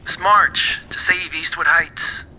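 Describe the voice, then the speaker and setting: An actor playing a controlling, menacing political backer in an audio drama, pointedly polite. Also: male voice, a little high, steady tone, takes it slowly, pushed and bright, full character voice, rough recording. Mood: coolly threatening. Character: menacing male moneyed backer, coolly controlling.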